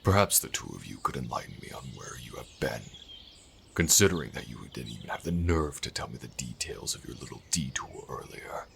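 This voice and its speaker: Man playing a very deep, gravelly, domineering king. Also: lively intonation, fairly bright tone, full character voice. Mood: sarcastic, cold. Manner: imperious, deliberate scolding. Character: stern, domineering older king, contemptuous father. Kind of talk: dramatic fantasy audio roleplay acting. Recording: noisy background with birdsong.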